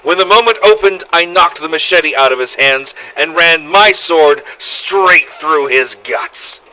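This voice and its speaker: A man's voice: on the high side, unhurried, sharp tone, rough recording. A man narrating a scripted first-person survival story in character, with a dramatic, gritty delivery and some swearing. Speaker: narrator of fan-made game audio logs.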